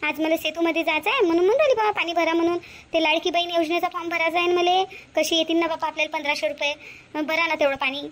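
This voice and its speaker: A woman's voice: very high-pitched, fairly even intonation, racing, a bit bright. A woman voicing an eager, trusting village housewife in a Marathi cartoon, chattering colloquially with a rural Vidarbha accent. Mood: bustling. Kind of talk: Marathi cartoon moral-story dialogue.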